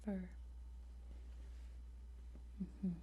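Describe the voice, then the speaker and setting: A woman softly whispering an ASMR roleplay as an attentive dragon training guide, gentle and halting, with frequent pauses. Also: phone-quality sound, a little hiss or hum. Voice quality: soft, breathy whisper.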